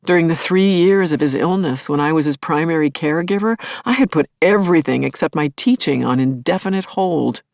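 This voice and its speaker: A woman's voice: deep voice, expressive, brisk, creaky, hardly any pauses, a little mellow, no room sound, studio quality. An actress playing a grieving middle-aged widow in an audio play, telling her story in a searching, intimate way that can drop toward a whisper. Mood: wistful, subdued. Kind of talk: dramatic first-person monologue, audio play.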